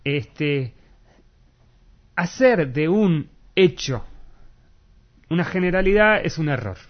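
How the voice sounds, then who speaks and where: An Argentine man with a moderately high voice explains things in an interview setting. He feels his way through sentences, pausing for the right word and repeating key ones to press his point. The sound is dull and a little lo-fi. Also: lively intonation. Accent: Argentine Spanish. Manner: halting, searching for words, repeating key words for emphasis. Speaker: interviewee giving political analysis. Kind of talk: spoken interview, explanatory analysis.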